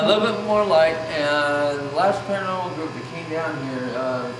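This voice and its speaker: A man giving casual, plain-spoken interview answers in a halting way, as a venue staffer showing people around. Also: fairly high pitch, noisy background. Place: inside a saloon bar. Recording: echoey room with loud music.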